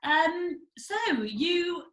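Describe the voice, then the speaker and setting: A woman's voice: extremely high pitch, animated, extremely slow, a bit bright. A woman hosting a podcast interview, chatty and enthusiastic. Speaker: podcast host. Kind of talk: casual interview chat. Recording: echoey room.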